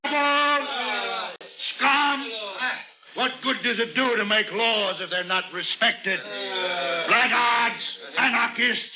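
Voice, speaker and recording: A man's voice: extremely high pitch, a crowd in the background. An actor playing a drunken, theatrical Frenchman, mocking and grandiose, in a bombastic old-time radio style. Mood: furious ranting. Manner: bombastic, declamatory, slow and deliberate. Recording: dull old radio recording.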